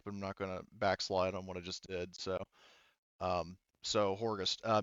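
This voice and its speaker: A man running a tabletop game casually as game master, thinking aloud haltingly as he works through rules and rolls. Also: fairly low pitch, fairly bright tone, clean tone, dry recording, muffled recording. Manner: halting, thinking aloud while rolling dice.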